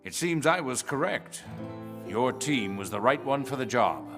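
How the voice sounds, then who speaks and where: A man playing a middle-aged director general in a video game, speaking in a measured, formal, authoritative way. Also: mid-range voice, animated, over music. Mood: formal, pleased. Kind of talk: video game cutscene acting.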